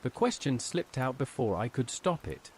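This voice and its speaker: A man narrating a scripted first-person horror story in a measured, suspenseful way. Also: medium pitch, sing-song, a little hiss or hum.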